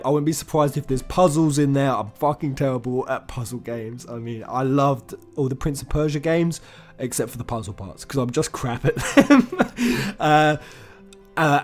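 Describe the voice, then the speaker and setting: A man giving casual, sweary gaming news commentary as a channel host, excitable and informal in manner. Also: mid-range voice. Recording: music under the voice. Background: background music.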